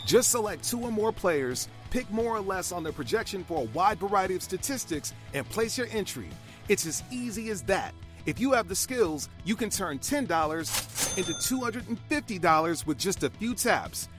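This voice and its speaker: A man with a high voice reads an upbeat scripted advertisement. Faint music plays underneath his voice. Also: animated, moderate pace. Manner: upbeat promotional delivery. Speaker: ad announcer. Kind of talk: scripted commercial read. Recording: voice over background music.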